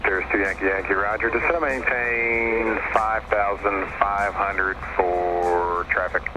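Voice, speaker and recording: A man with a lowish voice gives air traffic control instructions. He sounds procedural, and his transmission comes through an aviation radio with clear background noise. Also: fairly even intonation, a little slow. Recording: noisy aviation radio heard in cockpit.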